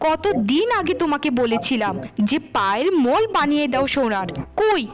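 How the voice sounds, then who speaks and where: Cartoon casting: woman as spoiled, boastful young bride, high voice, quarrelsome and complaining. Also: big pitch swings, moderate pace, crowd noise. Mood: indignant. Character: vain, haughty, greedy young wife, quarrelsome. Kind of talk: cartoon voice acting for children's moral story.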